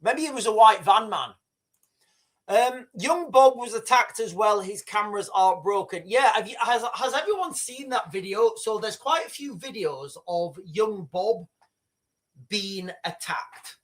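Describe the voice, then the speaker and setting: A man's voice: high-pitched, lively intonation, unhurried, a bit bright. Rambling man hosting a livestream. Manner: rambling, frequent restarts and swearing. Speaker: livestream host. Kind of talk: casual live commentary and storytelling.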